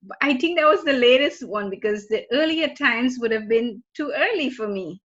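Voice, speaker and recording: A woman's voice: a little high, expressive, normal speed, fairly bright tone. A woman from Trinidad, a student chatting casually with classmates on a video call, often laughing and restarting her sentences. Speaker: student in group meeting. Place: home in Florida. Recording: muffled lo-fi video call audio.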